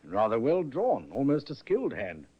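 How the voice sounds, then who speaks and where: A brisk police inspector with a lively, rising-and-falling delivery. His manner is crisp and courteously firm. The old radio recording sounds muffled. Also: medium pitch. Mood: businesslike. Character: brisk middle-aged male police inspector. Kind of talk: 1950s radio drama acting.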